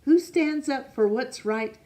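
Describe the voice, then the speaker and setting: A woman reading a picture book aloud to children, expressive and pausing to ask young listeners questions. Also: sits high. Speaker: storytime reader.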